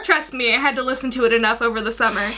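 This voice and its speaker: A young woman in her twenties reading fan fiction aloud in an animated way, stumbling over the odd sentence. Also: a little high, racing. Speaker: guest story reader. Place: small attic bedroom.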